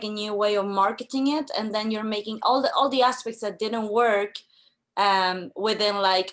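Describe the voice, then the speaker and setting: A woman from Chile asking a question from the audience, speaking haltingly with many fillers. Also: medium pitch, a bit bright. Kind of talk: conversational question.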